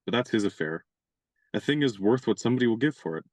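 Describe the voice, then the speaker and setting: A chivalrous young gentleman adventurer in a radio play, acted by a man, genial and fond of his own turns of phrase. He talks in courtly, elaborate sentences with a touch of mock pomp. The voice is dry and close, with little room sound. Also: on the low side, expressive, fast, no room sound.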